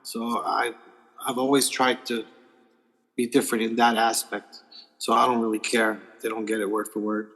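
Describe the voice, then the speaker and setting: A man's voice: on the low side, little pitch movement, moderate pace, gravelly, fairly bright tone, long reverb tail, rough recording. A man who directs films, talking loosely in a panel chat with many restarts over a video call. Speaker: film director.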